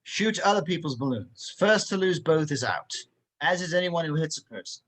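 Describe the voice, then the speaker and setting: A man playing a stern, dry-witted spy instructor in an amateur table read, crisp and matter-of-fact. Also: a little high, lively intonation, normal speed, a bit bright. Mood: brisk, stern.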